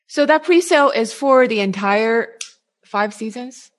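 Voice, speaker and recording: A woman's voice: a little high, animated, a little slow. A woman interviewing a guest in a casual way, phrasing her questions tentatively and piece by piece.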